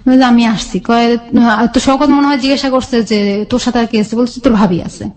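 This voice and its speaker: A woman's voice: on the high side, brisk. A woman with a Bangladeshi accent telling her own life story conversationally as a radio guest, acting out remembered dialogue as she goes. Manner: animated retelling with quoted dialogue.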